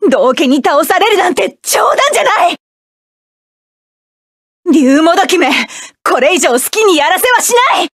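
High, dry game voice: fierce proud young woman pilot, commanding battle shouts. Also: animated, normal speed, long pauses, dry recording. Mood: defiant. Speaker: mecha pilot character. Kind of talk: video game battle voice lines.

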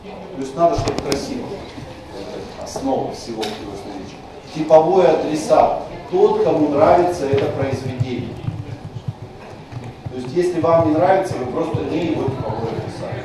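A man's voice: fairly high pitch, normal speed, noisy background. Man, lecturer, repeats key terms, chatty asides, far from mic, strong room echo. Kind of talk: university lecture. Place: lecture hall.

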